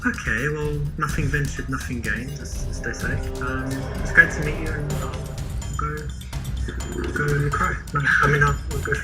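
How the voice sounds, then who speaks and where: A man's voice: on the high side, moderate pace, over loud music. A young man from England, his London accent mostly faded, chatting playfully as he demonstrates how to talk to a woman. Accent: mostly faded London English. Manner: chatty and playful, with relaxed fillers. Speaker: dating coach demonstrating an approach. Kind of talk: casual street conversation.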